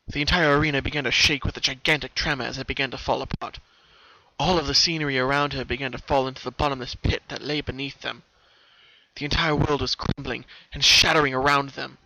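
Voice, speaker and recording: A man's voice: mid-range voice, bright, forceful tone. A man narrating a scripted story in an earnest, theatrical way. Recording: dull lo-fi recording, background noise. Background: noise.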